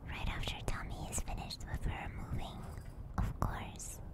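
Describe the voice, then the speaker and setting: A woman streaming ASMR in a soft, breathy whisper, gently and soothingly guiding and chatting close to a binaural microphone. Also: normal speed. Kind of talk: whispered ASMR guided meditation and chat. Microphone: close binaural ear microphone.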